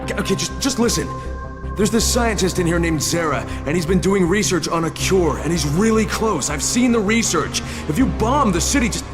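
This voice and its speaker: An actor playing a defiant, foul-mouthed undercover agent in a video game, blunt and sarcastic. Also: male voice, high-pitched, lively intonation, normal speed, over music. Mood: urgent pleading.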